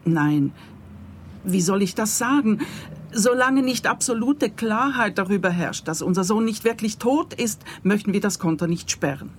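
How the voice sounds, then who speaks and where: An actress playing a composed middle-aged mother in a radio play, polite and measured. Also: mid-range voice, animated, slight background noise, close to an everyday voice. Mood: hesitant, hopeful.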